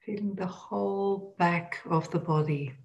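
A woman leading a guided body scan meditation in a gentle, unhurried, instructional manner. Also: fairly low pitch, highs cut off.

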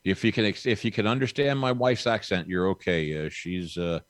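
A man's voice: lowish voice, lively intonation, moderate pace, no echo, clean studio sound. A man in his sixties, a podcast guest chatting casually, with a rambling, folksy, upbeat manner. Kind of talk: casual chat.

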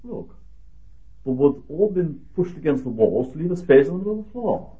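A man playing an excitable, stammering British country gentleman in an old-time radio drama. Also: a little high, moderate pace. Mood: excited. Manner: stammering, hesitant, flustered. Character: excitable, bewildered English gentleman, owner of old house. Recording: dull vintage radio recording.

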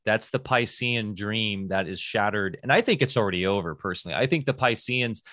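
Low-voiced man hosting a rambling chat. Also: animated, brisk, a bit bright, no room sound, studio quality. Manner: rambling and enthusiastic, with many restarts. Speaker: podcast host. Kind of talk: casual interview chat.

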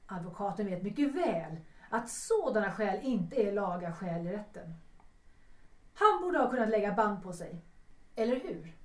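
A woman's voice: mid-range voice, big pitch swings, darkish tone, lightly acted. Distant, reproachful young woman played by an actress. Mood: accusing, bitter. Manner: challenging, formal old-fashioned address. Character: sharp, wounded actress of 33, reproachful ex-wife. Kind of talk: radio drama acting. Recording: echoey room, off the mic.

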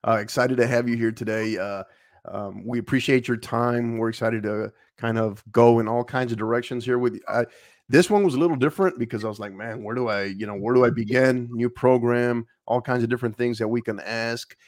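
A man hosts a podcast, energetic and a bit rambling, with plenty of fillers. His voice sits at a middle pitch and is recorded very dry, with no room sound. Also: fairly quick, dry recording. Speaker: podcast host. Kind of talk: casual interview chat.

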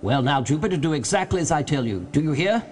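A man voicing a domineering, excitable gentleman in an audiobook story, giving orders with urgent exclamations. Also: a little high, fast talker, slight background noise. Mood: excited, demanding. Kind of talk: dramatized audiobook character.

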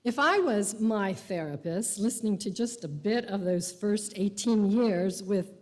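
An older woman shares her faith story before a congregation, unhurried and thoughtful, with a soft touch of humor. Her voice has a middling pitch and carries a long echo around a large church hall. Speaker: congregant sharing faith testimony. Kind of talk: personal testimony in a church. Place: church sanctuary.

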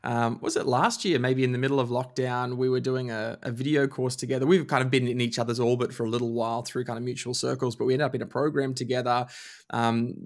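A man hosting a podcast interview, relaxed and quick to laugh. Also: medium pitch. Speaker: podcast host. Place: Australia.

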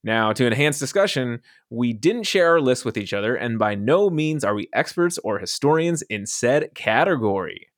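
Lively jokey man hosting a podcast. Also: mid-range voice, normal speed, few pauses, no room sound, clean studio sound. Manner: animated, jokey, laughs often. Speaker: podcast host. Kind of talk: casual podcast chat.

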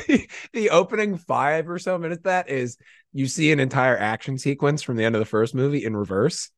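A man chatting casually in an excitable, effusive way. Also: medium pitch, a little fast, dry recording, studio quality. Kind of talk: casual chat.

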